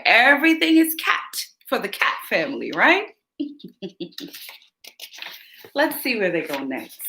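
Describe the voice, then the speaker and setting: A woman reading a picture book aloud to young children, expressive and teacherly, often asking them questions. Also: high voice. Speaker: children's story reader. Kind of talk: read-aloud storytelling for young kids. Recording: roomy echo.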